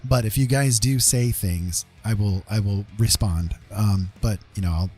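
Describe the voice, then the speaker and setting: A man chatting casually on an art livestream in a halting, rambling, apologetic way, talking into a microphone at his desk. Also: lowish voice, a little mellow, slightly rough. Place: indoors at a computer desk. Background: guitar rock music.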